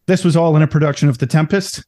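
A man hosting a podcast interview, asking hesitant questions full of ums and quick laughs. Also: fairly high pitch, fairly even intonation, warm tone. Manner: hesitant, filler-heavy, laughs easily. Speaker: podcast host. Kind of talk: casual interview chat.

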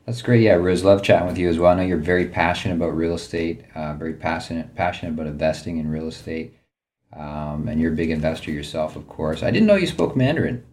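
A man hosting a podcast, asking friendly, halting questions. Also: sits low, a little mellow. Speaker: podcast host. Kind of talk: podcast interview.

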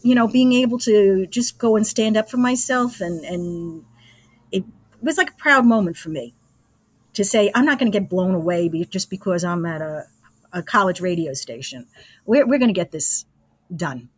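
An American woman in her sixties from Brooklyn reminisces in a casual interview. Her voice sits at an average pitch and she talks in an easygoing, anecdotal way. The sound is dry, with little room to it. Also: lively intonation, normal speed, no room sound. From Brooklyn, New York, USA. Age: 60s. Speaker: interview guest, former college radio engineer.

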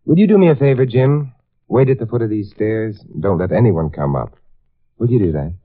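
An actor playing a suave, wry amateur detective in a 1950s radio drama, with an urbane, probing manner. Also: male voice, fairly low pitch, expressive, brisk. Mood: calm, probing. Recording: tinny band-limited vintage radio recording.

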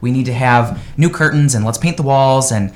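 An upbeat man from the United States talks about his work on a live radio show. His voice sits at a middling pitch and he keeps up a talkative, enthusiastic flow. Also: normal speed. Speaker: guest, real estate agent.

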